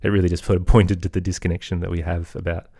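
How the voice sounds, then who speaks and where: A man's voice: low-pitched, flat delivery, quick pace, warm tone, breathy edge, no room sound, clean studio sound. A middle-aged man giving thoughtful, earnest answers as an interview guest in a conversational way. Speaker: interview guest, podcaster and musician.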